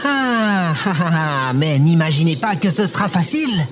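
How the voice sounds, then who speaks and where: A woman's voice: on the low side, big pitch swings, normal speed, background noise. A woman playing an anxious, self-pitying robot guard in a comedic audio drama, dramatic and whiny. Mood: pompous.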